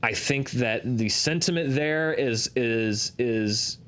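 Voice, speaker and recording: A man's voice: medium pitch, slow, a bit bright. A man hosting a talk show, giving analytical commentary in an explanatory way, often restarting and repeating points for emphasis. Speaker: host of a box office discussion show.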